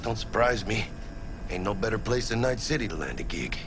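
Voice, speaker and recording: A man's voice: lowish voice, very animated, a little fast, noisy background. An actor playing a big, cheerful male street mercenary in a video game, boisterous and joking, dropping Spanish words. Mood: excited. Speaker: game character. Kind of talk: video game cutscene acting. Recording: game audio with loud music behind.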